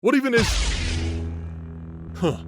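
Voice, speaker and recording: A man's voice: extremely high pitch, big pitch swings, takes it slowly, fairly bright tone, slight background noise, exaggerated voice. A man playing a flippant, casually sadistic demon bounty hunter in an anime-style audio roleplay, lazily drawling his lines. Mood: dismissive.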